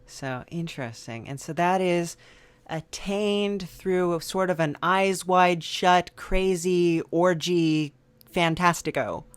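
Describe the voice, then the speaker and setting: A woman hosts an improvised comedy interview, talking slowly and haltingly with lots of fillers. Her voice sits a little low and the recording is close and dry. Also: clean tone, no room sound. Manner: hesitant, many fillers, playing along with mock seriousness. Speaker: podcast host.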